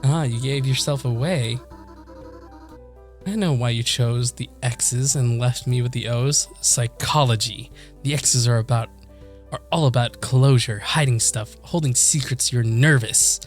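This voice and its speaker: Visual novel role: cocky, teasing girl read by a man, medium male-range pitch, bantering, faint music behind. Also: unhurried, lightly acted. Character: teasing, boastful, playful anime game girl. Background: game music.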